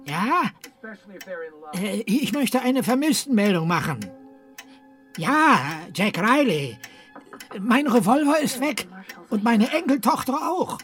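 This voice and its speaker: A man playing a worried old grandfather in a crime radio drama, halting and muttering as he talks. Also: very high-pitched, very animated, fairly bright tone, soft background music, heavily acted. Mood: worried, flustered. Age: older.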